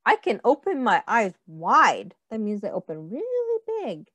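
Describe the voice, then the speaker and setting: A middle-aged woman reads a picture book to small children in a high, very animated voice. She goes slowly, in simple phrases, pausing to put questions to her listeners. The sound is close and dry. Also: dry recording. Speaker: early childhood teacher reading aloud.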